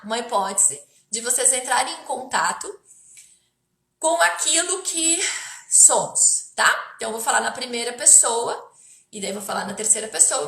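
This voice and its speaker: A Brazilian woman speaking as a therapist on a livestream, explaining spiritual self-help ideas in a halting way, with rhetorical questions and check-in tags. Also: on the high side, vocal fry, bright, forceful tone, reverberant. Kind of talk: spiritual self-help talk to live viewers.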